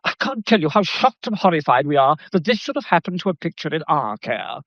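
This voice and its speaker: A man's voice: a little high, big pitch swings, brisk, fairly bright tone, no echo, heavily acted. An actor playing an obsequious, apologetic male gallery manager in a radio drama, effusive and placating. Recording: dull, muffled radio play recording.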